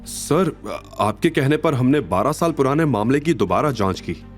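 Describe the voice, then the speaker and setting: A narrator plays a respectful male assistant in an audio drama. He reports in a formal, explanatory manner with animated pitch over faint music. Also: moderate pace, close to an everyday voice. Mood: earnest. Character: respectful male aide reporting investigation findings.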